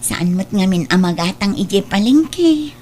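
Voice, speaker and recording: A woman's voice: mid-range voice, animated, measured pace, darkish tone. An Ilocano-accented woman playing a patient, ambitious younger sister who trades at a market, in an audio drama. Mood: hopeful. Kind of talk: Ilocano radio drama dialogue.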